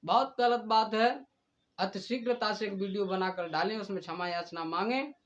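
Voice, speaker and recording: A man's voice: high-pitched, normal speed, highs cut off. A man delivering an indignant, oratorical admonishment, scolding someone by direct address. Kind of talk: admonishing monologue addressed to a rival and the community.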